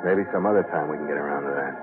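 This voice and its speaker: A hard-boiled sea captain from a 1940s radio serial. He is wary and wry, with clipped delivery. The sound is thin and phone-like, with music clearly in the mix. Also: very deep voice, rapid-fire. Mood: smooth, flirtatious. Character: tough, wary, wisecracking male sea captain and narrator.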